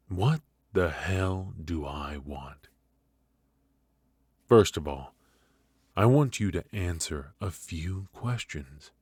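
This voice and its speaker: A man playing a teasing, menacing mafia boss in an ASMR roleplay, speaking in a halting, mock-polite, softly taunting way. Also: low-pitched, slightly rough, no echo, full character voice. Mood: probing, amused.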